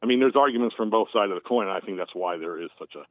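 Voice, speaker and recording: A man's voice: lowish voice, rapid-fire, no echo. Easygoing man in his fifties on a phone line. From United States. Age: 50s. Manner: easygoing, rambling with fillers. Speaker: caller. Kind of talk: casual phone call.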